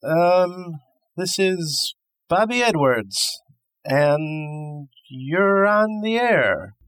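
Brash, animated radio phone-in host. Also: male voice, fairly high pitch, drawn-out words, no room sound, exaggerated voice. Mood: wry, baffled. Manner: wisecracking, theatrical. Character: brash, sarcastic male radio phone-in host, easily exasperated. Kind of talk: radio play acting as talk show host.

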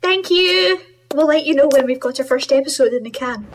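A woman plays a polite, long-suffering writer in an audio comedy, speaking with a Scottish accent. Her pitch is very high, with faint hiss on the recording. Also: animated, a little fast. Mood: polite, patient. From Scotland. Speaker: guest series creator. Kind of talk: scripted comedy skit.

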